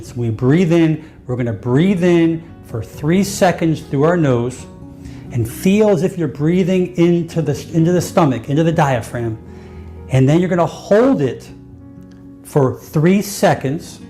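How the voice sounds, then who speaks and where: A man's voice: a little high, animated, unhurried, dark tone, faint music behind. A man giving guided breathing instruction, step by step and with repetition. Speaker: exercise instructor.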